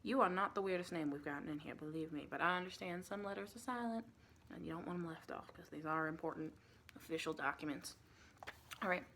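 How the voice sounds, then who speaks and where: A woman playing a sweet, chatty vampire lady with a Southern US accent, soft-spoken and partly whispering in a rambling, reassuring ASMR roleplay. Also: fairly low pitch, fairly quick, slight background noise. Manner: folksy, rambling, reassuring, doting.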